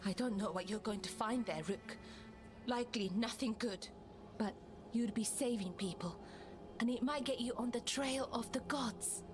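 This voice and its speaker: An actress playing a grave, dutiful female leader of a fantasy scout camp in a video game, serious and measured. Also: a little high, close to an everyday voice. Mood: somber. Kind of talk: video game cutscene acting. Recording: game music behind.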